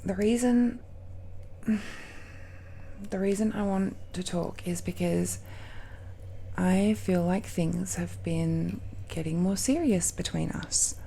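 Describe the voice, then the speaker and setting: A woman voicing a tender, nervous girlfriend of dragon blood in an intimate romantic audio roleplay, speaking haltingly and softly, often dropping into whispers. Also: lowish voice, vocal fry, slight background noise, rough recording. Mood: adoring, hesitant. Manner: slow, halting, hushed, often sighing or lapsing into whispers. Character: tender, nervous dragon-descended girlfriend confiding in her lover.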